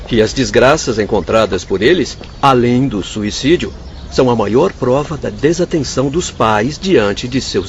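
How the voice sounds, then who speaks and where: A man acting an earnest spirit doctor in a radio drama, compassionate but stern, formal and moralizing. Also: mid-range voice. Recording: dull lo-fi audio with faint ambient noise.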